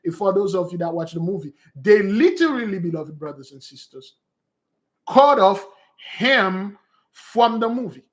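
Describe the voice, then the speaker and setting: Guy preaching off the cuff, kinda high voice, rambles and says everything twice, calls folks beloved. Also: expressive, moderate pace. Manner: rambling and repetitive, addresses listeners as beloved. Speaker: preacher and teacher. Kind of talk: informal teaching monologue.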